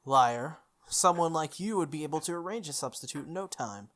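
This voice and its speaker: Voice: mid-range voice, expressive, fairly quick, fairly bright tone, natural voice. A let's play host voicing a stern, terse priest who gives curt, formal orders in a visual novel. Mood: stern warning. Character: stern, clinical priest giving curt warnings.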